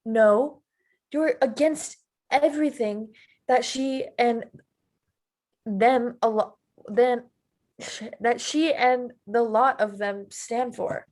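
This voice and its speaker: A woman's voice: on the high side, takes it slowly, long pauses, darkish tone. A woman playing a guarded, insistent young woman in a drama table read. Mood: conflicted, pressing.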